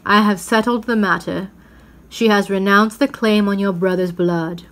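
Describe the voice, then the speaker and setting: A woman voicing a majestic male lion king in a storybook reading, grave and calmly authoritative. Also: mid-range voice, moderate pace, close to an everyday voice. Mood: commanding.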